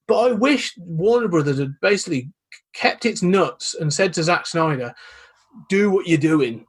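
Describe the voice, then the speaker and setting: Husky-voiced man, thoughtful. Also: sits high, lively intonation. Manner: thoughtful, measured, hedging with "you know". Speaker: podcast guest. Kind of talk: casual chat.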